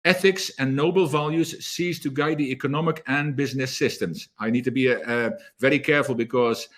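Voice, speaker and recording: An energetic man speaking as a leadership coach in an online talk, often repeating words as he gathers his thoughts. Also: mid-range voice, fairly quick. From South Africa.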